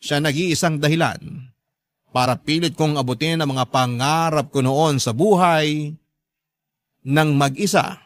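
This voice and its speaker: A man plays a devoted grown child telling a life story for Filipino radio drama. The voice is a bit high, earnest and sentimental, recorded close and dry. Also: measured pace, long pauses, no echo, close to an everyday voice. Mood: heartfelt, grateful. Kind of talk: scripted first-person narration in radio drama.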